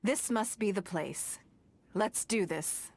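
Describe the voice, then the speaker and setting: An actress playing a poised, shrewd wealthy businesswoman in a video game, speaking in a measured, elegant, formal way. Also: medium pitch, a little mellow, slight background noise. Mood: confident, pleased.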